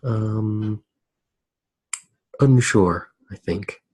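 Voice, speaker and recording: A soft-spoken man narrating a drawing in ASMR style, hesitant and pausing mid-sentence. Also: fairly low pitch, slight background noise. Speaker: ASMR art video host.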